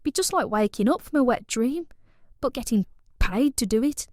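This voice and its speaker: A woman's voice: fairly high pitch, expressive, moderate pace, darkish tone, dry recording, clean studio sound. An actress playing a bored, randy, blunt British suburban woman in an audio play, talking in a colloquial, cajoling way.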